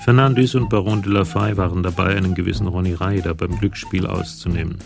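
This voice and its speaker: A man's voice: sits low, steady tone, brisk, vocal fry, music in the background. A man narrating a radio crime drama in a scripted, storytelling style.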